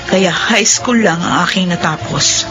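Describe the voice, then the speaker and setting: A woman's voice: fairly low pitch, measured pace, lightly acted. An actress playing a weary, earnest young woman in a Filipino radio drama, with a heartfelt delivery. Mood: sorrowful narrating. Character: weary, earnest young woman leaving nightlife work, eldest daughter. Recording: dull lo-fi radio drama audio. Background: loud music.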